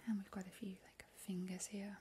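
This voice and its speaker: A woman in a whispered ASMR roleplay, drawing out her words and repeating trigger words softly, recorded indoors at a table on a close mic. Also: mid-range voice, flat delivery, moderate pace, slight background noise. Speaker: ASMR channel host.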